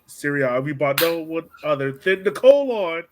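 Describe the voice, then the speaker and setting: Podcast host, man, voice a bit on the high side, informal and slangy sports chat. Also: sing-song, moderate pace, slight background noise.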